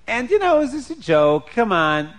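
A man's voice: very high-pitched, very animated, normal speed, a bit bright, pitched above the performer's own voice, full character voice. A man voicing a smug joking businessman who blusters, stammers and wheedles, in an oral story. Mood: wheedling.

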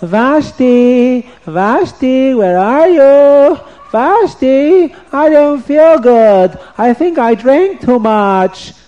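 A man voicing a hungover, bewildered king who calls out for his wife in high wails. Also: a little fast, a little mellow, dull audio. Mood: whiny, calling. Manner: whiny, calling out in high wails.

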